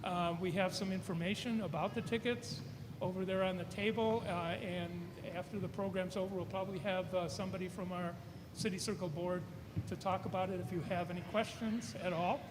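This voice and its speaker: A man with a fairly high voice gives an informal introduction to an audience as a music director. His delivery is halting and rambling. The room is echoey and reverberant, with clear noise behind him. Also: fairly even intonation. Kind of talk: informal public announcement to an audience. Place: large indoor room with a seated audience.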